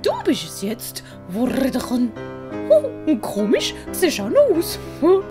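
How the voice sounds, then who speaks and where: A woman's voice: high-pitched, wildly swooping pitch, a little slow, full character voice. A woman voicing a cheeky, blunt talking pigeon with cooing trills in an audiobook. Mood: teasing. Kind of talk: audiobook character acting. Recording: prominent music behind the voice.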